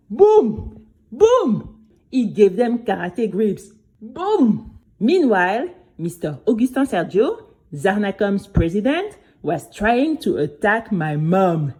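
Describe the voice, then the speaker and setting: A woman's voice: on the high side, breathy edge, heavily acted. A woman playing a spirited young girl who recounts her adventure to friends in a chatty, dramatic first-person audio story. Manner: animated, chatty, addresses listeners directly.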